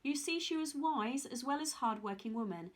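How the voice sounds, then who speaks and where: A woman reading a children's story aloud in an expressive storytelling style. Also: high voice, moderate pace.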